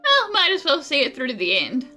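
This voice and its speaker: A woman's voice: high-pitched, huge pitch swings, fairly quick, bright, forceful tone, faint music behind. A woman giving casual, wry and teasing commentary on a game playthrough in slangy speech. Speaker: gaming streamer.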